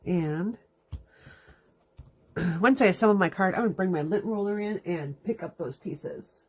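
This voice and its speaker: A woman's voice: lowish voice, a little slow, breathy edge. A woman hosting a live card-making demonstration from her craft room, stuffy and sniffling from allergies, chatting through each step as she works. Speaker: crafting host. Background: dog dragging its bed around.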